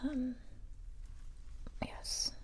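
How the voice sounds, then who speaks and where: Slow, whispering woman in soft ASMR roleplay. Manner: gentle, hesitant, unhurried reassurance. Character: calm, encouraging female special police recruiter.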